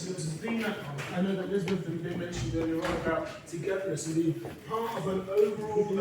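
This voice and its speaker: A man gives an unprepared speech in a big echoing venue. He talks hesitantly, with frequent ums and restarts, in a fairly high voice. A distant camera records him, and steady noise sits under the voice. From England. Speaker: guest speaker at a community event. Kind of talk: impromptu speech. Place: indoor event venue. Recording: echoey hall recorded from far off.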